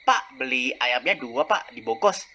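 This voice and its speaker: A man's voice: medium pitch, lively intonation, a little slow, bright, forceful tone, a little hiss or hum. A man voicing a joking, cajoling customer in a cartoon, with eager, repetitive talk.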